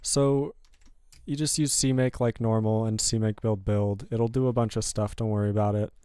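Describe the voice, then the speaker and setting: A man's voice: lowish voice, normal speed, clean tone, no room sound. A man hosting a live programming stream, chatting casually and rambling as he works through code. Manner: relaxed, rambling, self-correcting. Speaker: programming livestream host. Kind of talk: casual live coding chat.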